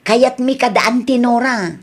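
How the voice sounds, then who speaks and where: A woman playing an earnest, expressive family mother in a radio drama, with an Ilocano accent. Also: fairly high pitch, unhurried. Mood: hopeful.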